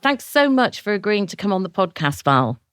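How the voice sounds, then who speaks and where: A woman's voice: medium pitch, big pitch swings, fairly quick, a bit bright, smooth, dry recording, clean studio sound. An older woman hosting a podcast, introducing it and chatting with her guest in a conversational interview style. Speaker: podcast host.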